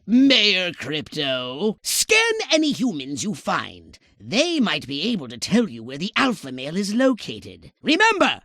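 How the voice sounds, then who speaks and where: Snooty evil alien boss from a video game, super theatrical, sharp forceful voice, very dry close recording. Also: male voice, high-pitched, no room sound, full character voice. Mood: pleased, then commanding, instructive. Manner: pompous, theatrical, clipped enunciation, sardonic. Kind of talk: scripted video game mission dialogue.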